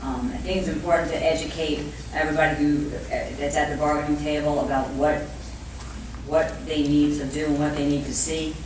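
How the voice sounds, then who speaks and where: An echo-heavy conference recording with faint noise carries a middle-aged or older speaker at some distance from the mic. The accent is Brooklyn and the voice sits at a medium-to-ambiguous pitch. The delivery is conversational and emphatic, like a seasoned negotiator explaining things plainly to a panel audience. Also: little pitch movement, a little fast. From Brooklyn, New York, USA. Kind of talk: panel talk, speaking off the cuff. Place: indoor conference room with audience.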